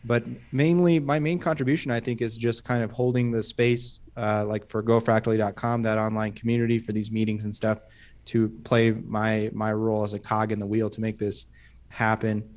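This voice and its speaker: A man giving a relaxed, self-deprecating update in an online community meeting. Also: on the low side, normal speed.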